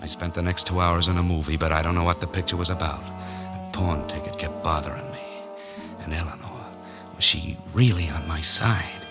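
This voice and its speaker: A man's voice: low-pitched, fairly even intonation, normal speed. An actor playing a broke, hunted man who narrates his own story in terse, slangy 1940s radio noir style. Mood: tense, weary. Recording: muffled vintage 1940s radio, music underneath.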